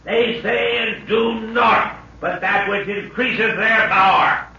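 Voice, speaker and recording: A man's voice: high voice, steady tone, slow, sharp tone, exaggerated voice. An actor playing a solemn male herald of an ancient city in a 1930s radio drama, declaiming in archaic, ceremonious speech. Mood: exhorting. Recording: roomy, distant, dull old radio recording.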